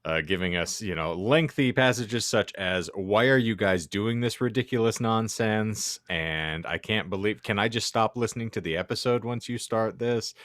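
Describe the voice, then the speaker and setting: A man's voice: on the low side, moderate pace, hardly any pauses, fairly bright tone, no echo, studio quality. A man hosting a podcast, delivering a theatrical introduction with wordy, mock-grand phrasing and casual banter. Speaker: podcast host.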